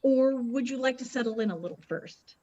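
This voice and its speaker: Woman acting a high-voiced, stubborn mother. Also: expressive, a little fast, dark tone, highs cut off, a little hiss or hum, close to an everyday voice. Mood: brisk, firm. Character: stubborn, superstitious, scornful wife and mother, embittered. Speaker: actress in a radio play. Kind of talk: dramatic radio play acting.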